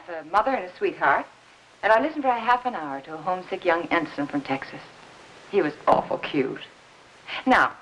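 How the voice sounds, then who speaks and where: A woman's voice: on the low side, fairly bright tone. An American actress playing a poised, spirited young woman in a 1950s film drama. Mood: wistful recollection. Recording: faintly noisy 1950s film soundtrack.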